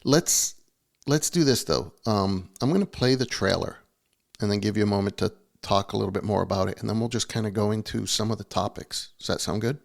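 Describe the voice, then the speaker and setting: A man's voice: fairly low pitch, fairly quick, gravelly. A man from Massachusetts hosting an interview, asking friendly, curious questions. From Massachusetts, USA. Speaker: host. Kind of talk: conversational interview.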